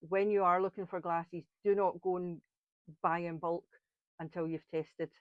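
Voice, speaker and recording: A woman's voice: lowish voice, fairly even intonation, a little slow, no echo, phone-quality sound. A woman with a Scottish accent, chatting her way through a step-by-step crafting tutorial with blunt, frank asides. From Scotland.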